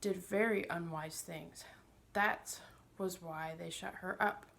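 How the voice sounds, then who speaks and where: A woman's voice: lowish voice, fairly even intonation, takes it slowly, vocal fry, a bit bright, full character voice. A woman voicing a pompous, sly older male magician in a storybook, smug and condescending, with a wheedling manner. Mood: evasive.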